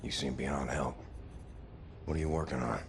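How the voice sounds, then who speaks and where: An actor playing a weary, tough soldier in a video game, laconic and plain-spoken, with an American accent and gravelly voice. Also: male voice, very deep voice, steady tone, normal speed, noisy background. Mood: stoic, skeptical. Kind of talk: video game dramatic acting. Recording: music under the voice.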